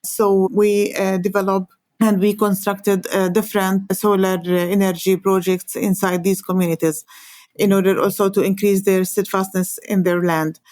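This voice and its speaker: A woman from Palestine with a Palestinian Arabic accent, a native Arabic speaker, explaining her work as an interview guest in halting, hesitant phrases with frequent pauses. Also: medium pitch, little pitch movement, darkish tone, no room sound. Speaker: interview guest, environmental organization coordinator.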